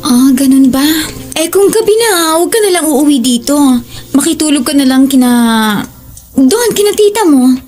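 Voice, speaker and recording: A woman's voice: high voice, expressive, moderate pace, pauses often, a little mellow, soft background music. An actress playing a worried, caring wife and mother in an audio horror drama.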